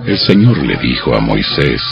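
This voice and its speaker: A man's voice: very deep voice, big pitch swings, moderate pace, creaky, dull audio, over music. A man delivering dramatized scripted Bible narration as the narrator.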